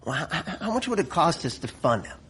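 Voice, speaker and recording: A man's voice: on the high side, sing-song, brisk, a bit bright, slight background noise. An actor playing a blunt, blustering middle-aged American general in a radio drama, folksy and profane, tripping over his words. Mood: conspiratorial, wry. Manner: stammering, exasperated, profane, folksy.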